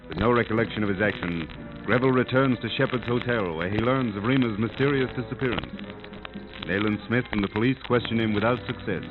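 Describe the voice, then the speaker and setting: A man narrating a 1930s radio serial as announcer, with dramatic, formal delivery. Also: on the low side. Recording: tinny, band-limited old radio recording. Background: music.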